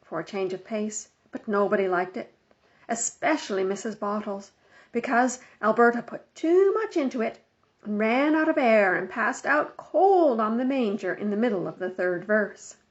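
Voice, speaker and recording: A woman narrating a children's book aloud in an expressive, scripted storytelling style. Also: a little high, moderate pace. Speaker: storybook reader. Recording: dull lo-fi home recording.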